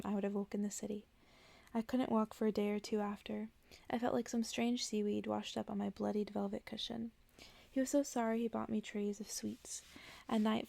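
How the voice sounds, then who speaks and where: A woman reads a story for ASMR listeners in a very gravelly, fry-heavy voice at a middling pitch. She speaks softly and often slips into whispering, as a bedtime narration. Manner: soft-spoken, often dropping into whisper. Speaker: ASMR host reading a story aloud.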